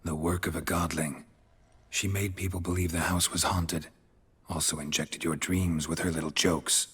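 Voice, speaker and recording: Deep, deadpan monster hunter. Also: male voice, normal speed, husky, full character voice. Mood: dry, calm. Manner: deadpan, terse, understated. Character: gruff, dry monster-hunting male witcher. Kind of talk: fantasy video game acting.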